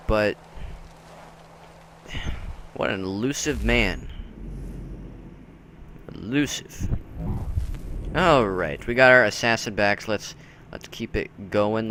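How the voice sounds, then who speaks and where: Guy doing a casual game walkthrough, low bright voice, some noise in the background. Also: slow pace, pauses often. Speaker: video game walkthrough commentator. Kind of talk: casual gameplay commentary.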